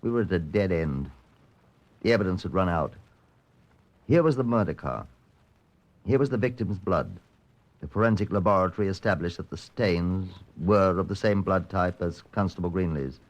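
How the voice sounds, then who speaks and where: A low-voiced chief inspector, from an old radio police drama. He speaks in a clipped, matter-of-fact way, sober and curt. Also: expressive, moderate pace. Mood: sober, narrating. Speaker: actor and narrator in a radio crime drama. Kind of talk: old-time radio police procedural acting and narration.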